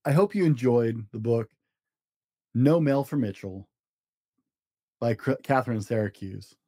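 A man hosting a children's show, reading a storybook aloud in simple, expressive language for young listeners. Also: mid-range voice, measured pace, no echo, clean studio sound.

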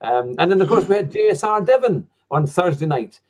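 A man's voice: high-pitched, animated, fairly quick, a little mellow. A man hosting a casual podcast, talking in a rambling way with lots of fillers. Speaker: podcast host. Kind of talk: casual chat.

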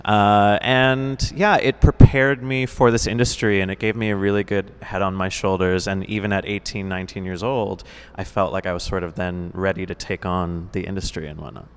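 A man's voice: sits low, steady tone, normal speed, clear, resonant tone. A man in his twenties, a musical theater teacher, talking easily as a guest with many "uh" fillers. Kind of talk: casual interview chat. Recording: reverberant hall.

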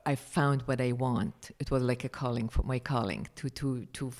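A man's voice: mid-range voice, steady tone, moderate pace, darkish tone. A man, answering interview questions hesitantly and restarting often. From Afghanistan. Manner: hesitant, searching for words, many restarts. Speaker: charity founder, guest.

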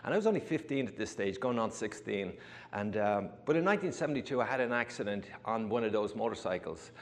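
A man's voice: lowish voice, lively intonation, fast talker. A man in his fifties with an Irish accent, telling his life story to a congregation in a chatty way, with humorous asides and frequent restarts. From Ireland. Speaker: guest giving a testimony. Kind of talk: personal testimony told to a congregation. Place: church sanctuary. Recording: church hall reverb.

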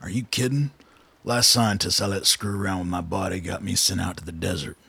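An actor in a radio drama playing a gruff, suspicious older colonel with a gravelly, husky voice and a blunt manner. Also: male voice, sits low, steady tone, brisk, pushed and bright, dry recording, exaggerated voice. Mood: wary. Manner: blunt, skeptical, swearing. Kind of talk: naturalistic radio drama acting.

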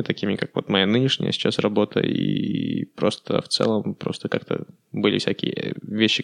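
A man's voice: lowish voice, vocal fry. A young man hosting his own podcast, talking casually and loosely with many fillers and English words mixed in. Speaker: podcast host. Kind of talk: casual solo podcast monologue.

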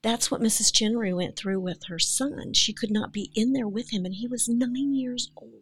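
A woman's voice: a little high, normal speed, slightly rough. An older woman speaking as an actress guest on a podcast, talking in an earnest, heartfelt way. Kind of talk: casual podcast chat.

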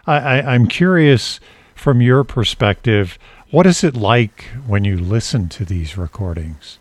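Low-voiced man hosting an interview. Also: animated, unhurried, no echo. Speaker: interviewer, show host. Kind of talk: conversational interview.

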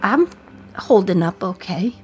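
A woman's voice: mid-range voice, lively intonation, a little slow, darkish tone, lightly acted. A woman playing a wry condemned prisoner. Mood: reflective. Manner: wry, sardonic, reflective. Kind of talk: radio play monologue.

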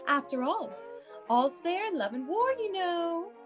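Scheming radio-play busybody voiced by a woman: very high, swooping, coaxing. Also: big pitch swings, measured pace, over music, full character voice. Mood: sly, coaxing. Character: scheming, brazen busybody businesswoman Miss Fish. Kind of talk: classic radio play acting.

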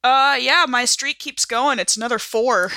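A woman's voice: a little high, moderate pace, noisy background. A woman player in a tabletop role-playing game, trading casual, joking banter.

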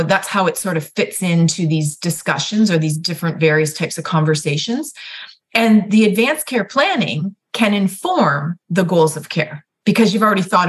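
A woman with a slightly low voice talks through her explanations in a relaxed, chatty way. She fills gaps with small fillers and often restarts her sentences. Her manner is that of a nurse practitioner and ethicist guiding listeners through the subject. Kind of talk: explanatory conversational guest talk.

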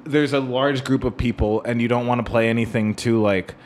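A man's voice: mid-range voice, fairly even intonation, a little fast, darkish tone, a little hiss or hum. A man in a casual music discussion, talking in a rambling way and often checking for agreement with "right?". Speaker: podcast co-host.

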